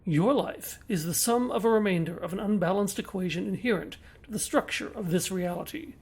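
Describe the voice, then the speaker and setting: A man playing a pompous, detached reality-making entity, speaking in measured, verbose monologue for a comic audio drama. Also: sits high, gravelly, darkish tone, husky, heavily acted. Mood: coldly lecturing.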